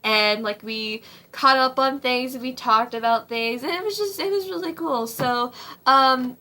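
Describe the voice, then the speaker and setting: A young woman in her twenties, slightly hoarse, giving casual advice from her home kitchen in a rambling way, with many ums and likes. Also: high voice, a little slow, pushed and bright. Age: 20s. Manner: rambling, full of ums and likes, frequent laughs. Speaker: vlogger. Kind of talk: casual faith-based advice vlog.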